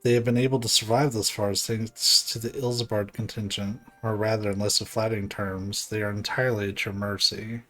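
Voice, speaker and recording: A man voicing a courteous, dignified ruler in a video game, with formal, archaic, measured diction. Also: lowish voice, little pitch movement, sharp tone. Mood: formal, earnest.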